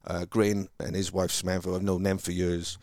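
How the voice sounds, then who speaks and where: A man from Wales with a Welsh accent, chatting casually about his sales career in a halting, rambling way, with many restarts. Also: deep voice, little pitch movement, darkish tone, dry recording. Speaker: car salesman guest. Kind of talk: casual conversation.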